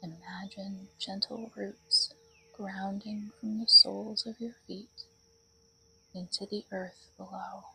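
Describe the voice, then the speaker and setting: A woman leading a guided meditation in a soothing, breathy voice that often drops toward a whisper. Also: medium pitch, fairly even intonation, slow, pushed and bright, slight background noise, rough recording.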